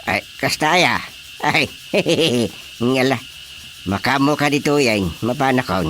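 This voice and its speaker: A man's voice: mid-range voice, slow pace, a bit bright, slight background noise. A man playing a bossy, grumbling village chairman with an Ilocano accent in a radio comedy-drama. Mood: brisk, dismissive.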